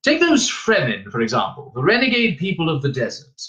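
Man acting a gruff old tutor, shrewd, wry, animated intonation, fantasy audiobook. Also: on the high side, a little fast, pitched above the performer's own voice, heavily acted. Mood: confiding. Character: shrewd, gruff old male mentor and adviser.

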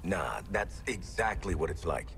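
A man's voice: deep voice, big pitch swings, a little slow, gravelly, fairly bright tone, slight background noise, heavily acted. A man playing a no-nonsense, exasperated hitman in a video game, terse and sardonic. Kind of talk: video game cutscene acting.

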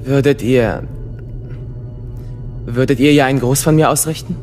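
A man's voice: medium pitch, normal speed, darkish tone, natural voice. A man plays an earnest young knight in a radio drama, respectful in address. His voice rings with long reverb over music. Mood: earnest, deferential. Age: young adult. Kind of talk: German radio drama acting.

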